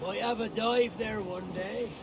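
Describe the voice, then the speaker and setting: A man's voice: high voice, steady tone, a little slow, poor audio. A man playing a brash, scheming pirate in a video game. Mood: excited, greedy. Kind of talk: video game cutscene acting.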